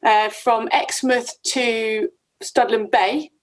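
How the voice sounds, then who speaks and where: A woman's voice: on the high side, steady tone, slow pace. A woman interviewing guests in a casual, conversational way, with informal and somewhat hesitant phrasing. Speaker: podcast host, interviewer.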